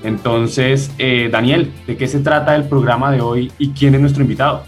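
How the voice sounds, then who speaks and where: A man's voice: mid-range voice, steady tone, moderate pace, soft background music. A man hosting a radio program, opening the show with upbeat enthusiasm.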